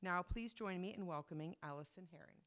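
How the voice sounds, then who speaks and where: A woman introducing a guest speaker at a library event in a formal, measured way, reading into a microphone. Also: on the low side, fairly bright tone. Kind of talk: read introduction. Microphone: microphone at a podium.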